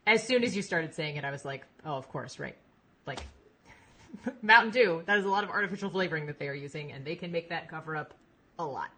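A woman in her thirties, a podcast guest talking in a rambling, animated way and laughing easily. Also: deep voice, quick pace. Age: 30s. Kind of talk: casual chat.

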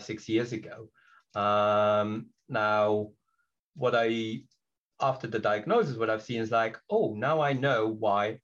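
A man from Belgium, whose first language is not English, speaking as a podcast guest in a reflective way with many pauses and restarts. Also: lowish voice, lo-fi sound. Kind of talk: podcast interview.

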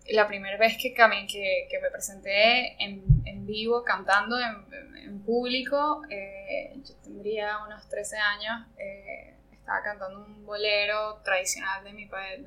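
A woman's voice: mid-range voice, bright, forceful tone, rough recording. A Venezuelan woman from Margarita Island answering interview questions in a reflective way, often searching for words. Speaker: interview guest. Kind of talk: conversational interview.

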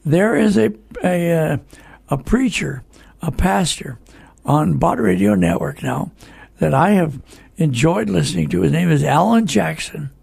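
An older man hosts a radio talk show in a heavily creaky, husky voice. He sits high in pitch for a man. His delivery is folksy and halting, addressed straight to the listeners. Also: expressive, a little mellow, no room sound. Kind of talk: conversational radio commentary.

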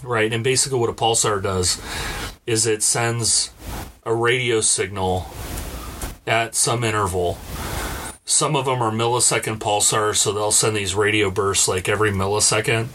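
A man's voice: lowish voice, steady tone, normal speed, noisy background. A man hosting a podcast, explaining things casually in a stop-and-start, conversational way and checking that his listener follows. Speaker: podcast host.

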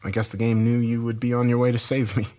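A man's voice: mid-range voice, level tone, fast, dark tone, voice pushed up, close to an everyday voice. A man voicing a desperate, bitter man in a dramatic story, agitated, urgent and given to swearing. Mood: resigned. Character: Josh, desperate bitter man, cornered game loser.

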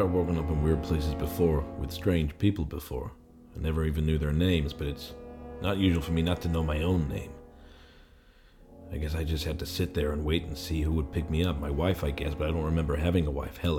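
A man's voice: fairly even intonation, a little fast, over music. A man playing a confused, suspicious amnesiac hero in an improvised film noir audio play, hard-boiled and prone to stammering. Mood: brooding. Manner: agitated stammering in dialogue, low brooding asides. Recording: clearly noisy background.

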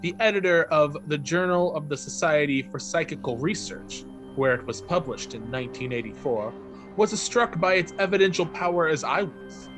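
A man reading a written account aloud as a narrator, measured and formal, with carefully enunciated phrases. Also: fairly high pitch, expressive, music in the background.

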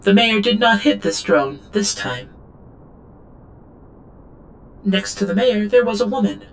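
A woman's voice: mid-range voice, expressive, normal speed, a little hiss or hum, lightly acted. A woman playing an obedient female servant drone in an audio drama, reporting in a stilted, clinical third-person manner. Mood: clinical, detached. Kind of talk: scripted audio drama monologue.

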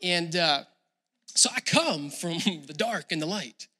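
A man preaching a sermon as a pastor, talking casually to people at home and rising to loud, emphatic passages. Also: on the high side, lively intonation, slow.

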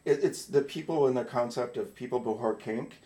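A man's voice: mid-range voice, fairly quick, mellow. A middle-aged man from North Dakota, co-hosting a podcast in casual chat, rambling through stories, swearing and breaking off mid-sentence. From North Dakota, USA. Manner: rambling storyteller, swears, self-interrupting. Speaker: podcast co-host. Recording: roomy echo.

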